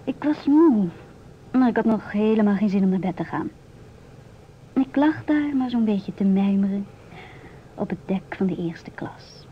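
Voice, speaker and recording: An actress playing a musing, independent stewardess in her twenties in a radio drama, speaking in a hushed, often near-whispered voice. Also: medium pitch, animated, a little slow, long pauses, natural voice. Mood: dreamy recollection. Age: 20s. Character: musing, independent 27-year-old divorced ship stewardess. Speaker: narrator and stewardess. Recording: muffled old radio recording, clear hiss.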